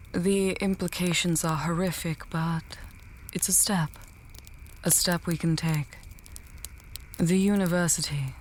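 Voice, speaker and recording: A woman playing an earnest, scholarly female mage in a fantasy audio drama, measured and thinking aloud, dryly testy. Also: low-pitched, a little hiss or hum. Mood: grave, resolute.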